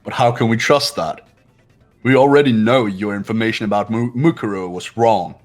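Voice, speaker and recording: A man playing a proud, analytical high school student in a fan-dubbed video game, speaking in a haughty, deliberate way. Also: lightly acted. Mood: cold, challenging. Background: game music.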